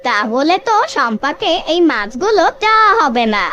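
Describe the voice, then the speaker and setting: A performer voicing a cunning, plucky cartoon child with a pleading, expressive delivery. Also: sits high, normal speed, lo-fi sound, full character voice. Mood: wheedling. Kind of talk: cartoon story voice acting.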